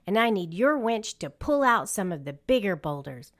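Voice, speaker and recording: A woman's voice: mid-range voice, sing-song, normal speed, a bit bright. A woman voicing a confident boy leader of rescue pups in a storybook, reassuring and decisive.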